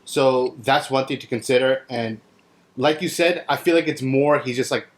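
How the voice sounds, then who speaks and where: A man's voice: medium pitch, fairly even intonation, moderate pace. A man speaking as a panelist, reasoning tentatively through points in a casual discussion.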